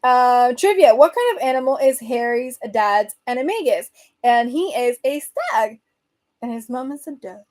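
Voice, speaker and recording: A woman's voice: on the high side, expressive, moderate pace, a little mellow. Venezuelan-accented woman chatting with her viewers. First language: Spanish. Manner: chatty, reads comments aloud, frequent fillers and laughs. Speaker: host. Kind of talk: casual livestream chat.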